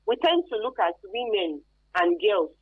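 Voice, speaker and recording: Over a thin, band-limited phone connection, a Nigerian woman explains her points as a guest expert. Her medium-pitched voice swings widely in intonation, and she speaks emphatically and off the cuff. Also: sing-song, unhurried, breathy edge, narrow, tinny audio. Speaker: expert guest on human trafficking. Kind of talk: spontaneous explanatory interview talk. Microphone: phone call.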